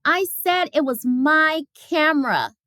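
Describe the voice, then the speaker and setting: A woman voicing an excitable, stubborn small girl in a storybook, with an emphatic, whiny delivery. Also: sits high, slow pace, dry recording, clean studio sound, pitched above the performer's own voice, heavily acted. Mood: upset.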